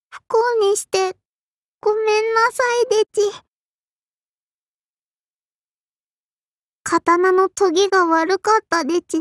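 A woman's voice: very high-pitched, a bit bright, no echo, clean studio sound. A woman voicing a tiny, prim and strict sparrow girl innkeeper with a childlike lisp and a cutesy verbal tic, in an anime mobile game. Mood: strained, determined. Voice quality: childlike, lisping. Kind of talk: Japanese anime mobile game character voice lines.

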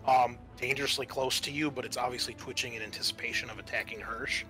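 A man's voice: medium pitch, steady tone, fast, bright, forceful tone, faint music behind, poor audio. A man narrating a tabletop roleplaying game as game master, casual and thinking aloud as he goes.

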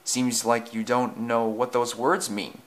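A man's voice: medium pitch, flat delivery, slow, a bit bright. A man voicing an indignant, protective tank engine in a children's story, bellowing and scolding. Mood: furious.

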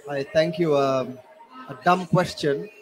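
A man's voice: fairly high pitch, takes it slowly. A man from Bangladesh asking a polite audience question at a conference.

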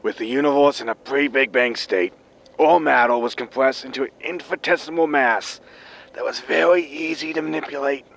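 An actor playing a bitter, ailing future spaceship captain, with a wheezy smoker's voice and a scornful, lecturing manner, in a science fiction comedy audio drama. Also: male voice, medium pitch, moderate pace, gravelly, fairly bright tone. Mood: stern, lecturing. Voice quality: wheezy smoker's lungs, coughing.

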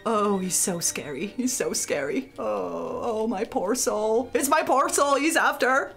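A woman's voice: on the high side, lively intonation, measured pace, gravelly, a bit bright, slightly rough, faint music behind, heavily acted. A woman voicing a vain, scheming young maiden in a comic puppet show, fretful, repetitive and melodramatic. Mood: scheming, frantic.

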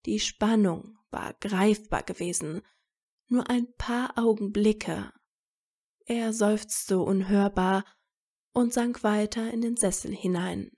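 A woman's voice: mid-range voice, takes it slowly, darkish tone. A woman narrating a scripted story as an audiobook reader.

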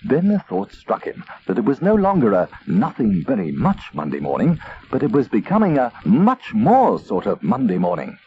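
A man reading a children's story as narrator in an expressive, theatrical way. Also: mid-range voice, moderate pace. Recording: dull tape transfer, faint noise.

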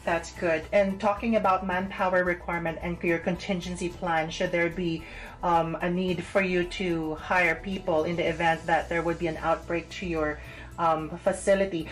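Lowish-voiced woman interviewer, music behind. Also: rough recording. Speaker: interviewer, show host. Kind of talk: interview questioning.

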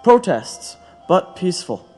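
A man acting a defiant, higher-voiced labor radical. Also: big pitch swings, measured pace, a little mellow, soft background music, full character voice. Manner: earnest, rhetorical. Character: defiant, principled male labor radical, proud and bitter. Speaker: actor in an audio drama. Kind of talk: dramatic audio play acting. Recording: long hollow reverb.

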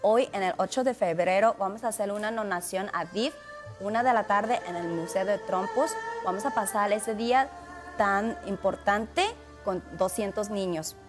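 A Chinese-born woman in her thirties answers questions in a TV studio, speaking a second language with careful, slightly halting phrasing. She speaks into a handheld mic at an average pitch. Music plays clearly behind her. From China. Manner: careful, slightly halting non-native speech. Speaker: community guest. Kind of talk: TV studio interview. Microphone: handheld mic held by the host.

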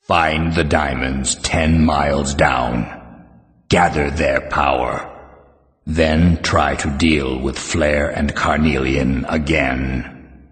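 Immensely deep, slow ancient stone creature. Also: male voice, steady tone, fairly bright tone, exaggerated voice. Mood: solemn, commanding. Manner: ponderous, formal. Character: ancient male living mountain heart, stone creature, testy. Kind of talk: audiobook drama acting. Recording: long booming reverb.

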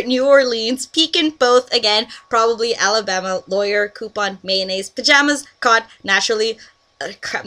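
A giggly young woman from Canada talks into a headset mic in a small bedroom, bright and a touch high in tone. She chats loosely through a word list, laughing and breaking off to tell friends to hush. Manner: giggly, chatty, breaks off to scold friends on a call. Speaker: vlogger doing an accent meme. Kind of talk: casual webcam vlog. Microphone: headset microphone.

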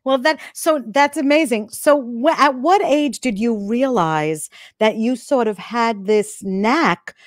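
Eager woman host, slightly high voice, dry close mic. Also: expressive, takes it slowly, few pauses, no room sound. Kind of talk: live online interview. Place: studio with logo backdrop. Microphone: microphone close in front.

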